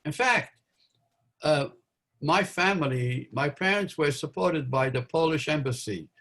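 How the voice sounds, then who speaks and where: A man in his eighties from Belgium, a guest recounting his memories in an interview, talking in a halting way with many fillers and restarts. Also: on the high side, fairly bright tone. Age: 80s. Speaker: interview guest, Holocaust survivor.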